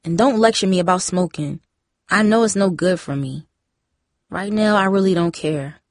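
A woman's voice: fairly low pitch, moderate pace, no room sound, clean studio sound, lightly acted. A woman voicing a defiant, wounded teenage girl in an audiobook, stubborn and indignant. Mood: cold, sullen. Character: defiant, hurt teenage girl, the outspoken sister.

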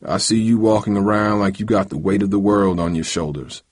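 A weary, ailing father from a novel , voiced by a male reader. He talks low and clear, grave but gentle, like a parent giving earnest advice. The recording is dry and close. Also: dry recording. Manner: slow, grave, gentle advising. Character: weary, serious ailing father of a teenage boy.

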